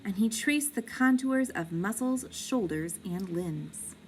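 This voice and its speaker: A woman reading a children's picture book aloud in an expressive, deliberate way, like a teacher with young pupils. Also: mid-range voice, slight background noise, rough recording.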